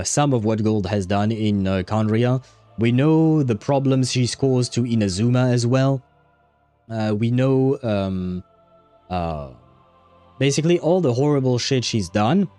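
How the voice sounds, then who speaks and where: A man's voice: medium pitch, mellow, dry recording. A man chatting casually on a gaming livestream, rambling and thinking aloud as he goes. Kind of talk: casual livestream chat about a game.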